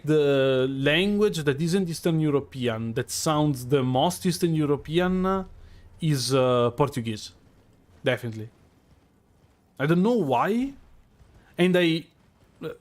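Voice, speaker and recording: A man speaks with a strong Italian accent in a fairly high voice. He streams a game live and muses aloud, stopping and restarting, filling gaps with ums. The talk is loose and unhurried, chatting with his viewers as he plays. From Italy. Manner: musing, hesitant, many fillers and restarts. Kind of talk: live gameplay commentary.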